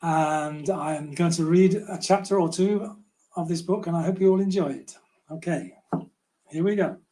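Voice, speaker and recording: An older man reading a children's storybook aloud in a gentle, unhurried way. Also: a little high. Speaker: children's author reading own book.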